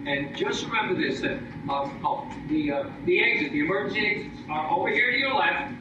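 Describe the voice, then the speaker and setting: High-voiced man making casual pre-show announcements. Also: lively intonation, brisk, lo-fi sound. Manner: jokey, hesitant. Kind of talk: casual joking audience address. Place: live audience hall, heard from afar. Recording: distant, echoing, noisy live hall recording.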